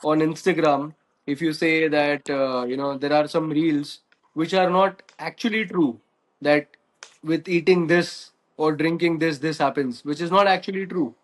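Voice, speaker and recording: A man's voice: on the high side, fairly even intonation, unhurried. A man speaking steadily as a panel guest, listing examples. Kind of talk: panel discussion.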